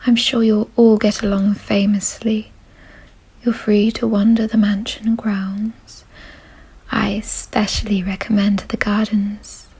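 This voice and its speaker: A woman playing a kind, doting aristocratic vampire in an ASMR roleplay, in a soft, breathy whisper with a gentle, genteel, reassuring manner. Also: medium pitch, level tone, slow pace, full character voice, rough recording. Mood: fond, doting.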